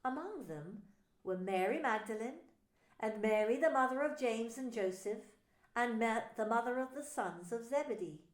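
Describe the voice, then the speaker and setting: A woman pastor narrating a scripture story in a slow, solemn reading. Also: a little high, expressive, roomy sound.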